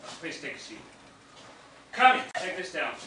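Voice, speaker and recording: Male actor as police officer, official and commanding, lively intonation, stage comedy, very echoey, faint noise. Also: fairly high pitch, moderate pace. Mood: businesslike. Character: brisk, businesslike male police officer taking a statement. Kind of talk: comic stage play. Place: indoor stage of a small theatre. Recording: echoey theatre, distant mic, some noise.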